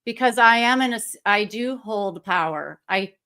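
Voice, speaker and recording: A woman's voice: mid-range voice, animated, normal speed, pushed and bright. A woman hosting a livestream interview, enthusiastic and reflective in casual talk over a video call. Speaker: stream host.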